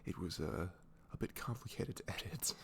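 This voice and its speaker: A man's voice: low-pitched, expressive, normal speed, creaky. A man introducing his audio roleplay in a casual, self-deprecating, informal ASMR style.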